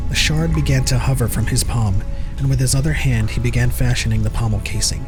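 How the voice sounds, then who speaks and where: A man reads scripted dramatic narration as the storyteller of an audio drama. Prominent music plays behind his voice. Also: mid-range voice.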